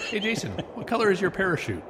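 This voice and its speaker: A man's voice: high-pitched, sing-song, fast talker. A man trading comic banter during a radio-play rehearsal. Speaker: performer in comedy rehearsal. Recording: noisy group recording.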